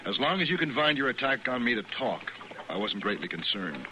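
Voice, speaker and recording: An actor playing a principled, bookish sheep rancher with an American accent, articulate and quietly defiant, in an old-time radio western. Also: male voice, mid-range voice, big pitch swings, close to an everyday voice. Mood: accusing. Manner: articulate, deliberate, earnest. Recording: noisy old radio recording.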